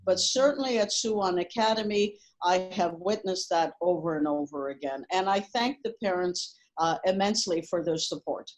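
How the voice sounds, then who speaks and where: A woman's voice: lowish voice, moderate pace, hardly any pauses, rough recording. Earnest woman school principal, many fillers. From Portugal. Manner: earnest, frequent ums and uhs. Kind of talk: interview answers.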